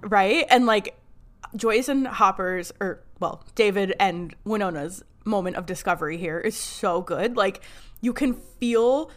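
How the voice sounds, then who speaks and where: A woman podcast co-host in easy, relaxed chat, now and then reading a recap in narration. Her voice sits at a middle pitch for a woman. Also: unhurried.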